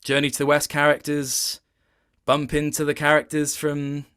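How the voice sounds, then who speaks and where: A man speaking as an interview guest in a casual chat, thoughtful and halting, often reworking his sentences as he goes. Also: fairly high pitch, completely flat delivery, a bit bright, clean tone. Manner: thoughtful, halting, with many self-corrections.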